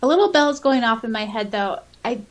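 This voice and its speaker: A woman's voice: fairly high pitch, expressive, a little fast. A woman co-hosting a podcast, chatting casually and sometimes reading passages aloud. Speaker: podcast co-host and audiobook narrator.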